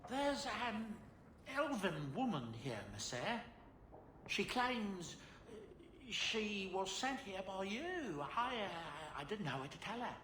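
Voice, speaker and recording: An actress playing a flustered, hesitant house servant in a video game. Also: female voice, mid-range voice, big pitch swings, slow, a bit bright, roomy sound, slight background noise.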